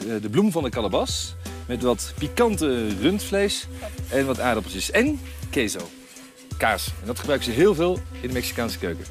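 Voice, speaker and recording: A man in his thirties hosting a travel show outdoors, talking to camera in a relaxed, enthusiastic and chatty way. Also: medium pitch. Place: outdoors on a mountain road and in a tent. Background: background music.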